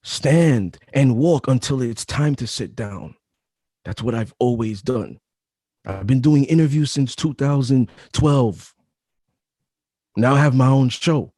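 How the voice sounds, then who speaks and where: A man with a deep, mellow, dark voice talks as a guest in a casual interview. He is emphatic and slangy and repeats phrases for weight. His voice sounds dry and close. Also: slow, dry recording. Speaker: author and guest. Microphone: home mic setup.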